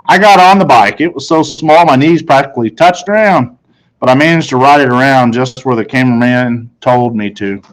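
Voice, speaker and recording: A man's voice: medium pitch, normal speed, poor audio. A man reading a children's novel aloud as scripted first-person narration. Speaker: story reader.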